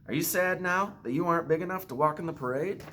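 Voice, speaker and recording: A man voicing a boy who is a fond, gently teasing older brother in a children's storybook. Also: on the high side, fast, slight background noise, voice pushed up.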